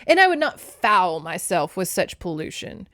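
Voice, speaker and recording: A commanding king of the gods, acted by a woman reading a storybook. He is measured, emphatic and defensive, asserting his authority. The recording is dry and close. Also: on the low side, big pitch swings, fairly bright tone, no room sound. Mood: indignant denial.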